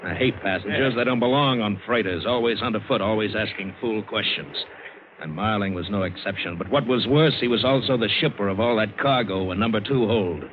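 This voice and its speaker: An actor playing a world-weary, hard-boiled ship's mate in an old radio adventure drama, wry, terse and sardonic. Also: male voice, fairly low pitch, fairly quick, slight background noise, close to an everyday voice. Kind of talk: 1940s-50s radio drama narration. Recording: muffled old radio recording.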